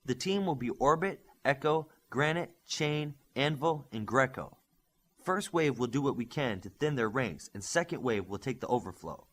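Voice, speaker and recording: A man's voice: mid-range voice, lively intonation, moderate pace, a bit bright. A man voicing a young, earnest superhero squad leader in an audiobook, giving orders in an emphatic briefing style. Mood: firm, instructive. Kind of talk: audiobook character dialogue.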